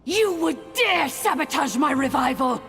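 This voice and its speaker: A woman's voice: high voice, expressive, measured pace, a bit bright, soft background music. A woman playing a vengeful, grandiose fallen ruler in a video game, declaiming imperiously and theatrically. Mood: wrathful. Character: wrathful, grandiose fallen sovereign, female, vengeful and doomed.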